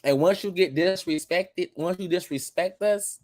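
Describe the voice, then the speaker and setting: A man's voice: high voice, normal speed, a little mellow, no room sound. Blunt cussing young man, dry sound. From United States. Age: 20s. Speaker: guest. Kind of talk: casual chat.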